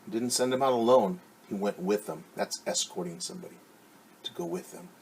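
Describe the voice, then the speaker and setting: A man's voice: lowish voice, very animated, fairly quick, gravelly, a little mellow, a little hiss or hum. A man teaching young children at story time, reading aloud and explaining in simple, encouraging words with questions to his listeners.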